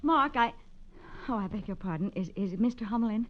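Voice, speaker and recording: An actress playing a brisk, secretive femme fatale who stays cool under pressure, in 1940s radio style. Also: female voice, medium pitch, expressive, lightly acted. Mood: anxious. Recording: dull, faintly noisy old radio recording.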